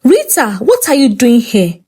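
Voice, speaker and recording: A bossy, unwelcoming older sister from a folktale, acted out by a woman with a Nigerian accent. She is curt and dismissive, slow in delivery, with wide swings in a fairly high voice. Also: huge pitch swings, warm tone, slightly rough, heavily acted. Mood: uneasy, dismissive.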